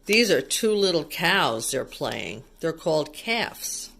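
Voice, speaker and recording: A woman's voice: deep voice, big pitch swings, slow, creaky, a bit bright. A woman reading a picture book aloud to young children, asking simple questions and explaining things as a teacher would.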